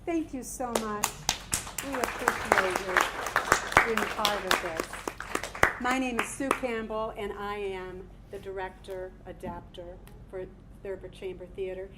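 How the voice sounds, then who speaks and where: A woman's voice: medium pitch, fairly even intonation, unhurried, a loud crowd. A woman performing a staged reading and speaking to a live audience as director and performer. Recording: live stage recording with background noise.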